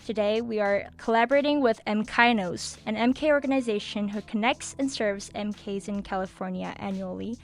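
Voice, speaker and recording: A woman's voice: mid-range voice, normal speed, few pauses. A young woman hosting a casual video podcast, chatty and full of questions, using "like" a lot. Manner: chatty, asks questions with filler "like". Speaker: host.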